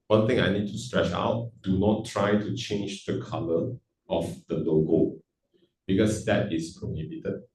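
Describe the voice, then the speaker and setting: A man's voice: sits low, dark tone, recorded from a distance, a little hiss or hum. Joking man hosting in a hall. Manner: joking, chatty, switches languages. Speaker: event host. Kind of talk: casual Q&A hosting with jokes. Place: event hall.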